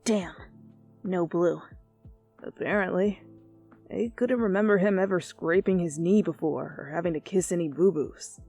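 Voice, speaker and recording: A woman voicing a gruff, foul-mouthed, hot-headed young man who grumbles scornfully, in a fan fiction reading. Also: on the low side, moderate pace, dark tone, faint music behind. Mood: grumbling.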